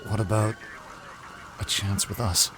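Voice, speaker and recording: An actor playing a brooding, wounded young vigilante in a comic dub, low-key and hesitant. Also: male voice, fairly even intonation, unhurried, fairly bright tone, a little hiss or hum. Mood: hesitant, hurt.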